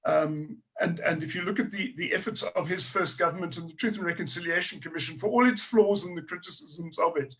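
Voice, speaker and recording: Earnest South African man, high voice. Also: fairly quick, few pauses, sharp tone, poor audio. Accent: South African English. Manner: thoughtful, earnest, restarts phrases often. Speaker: historian, guest. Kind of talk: panel discussion.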